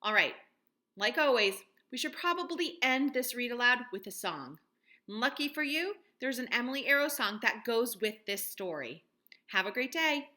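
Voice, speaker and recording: A woman teacher with a bright, highish voice leads a children's read-aloud lesson from a room at home. Her pitch moves in a very animated way as she encourages young listeners and puts simple questions straight to them. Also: moderate pace. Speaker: elementary school teacher.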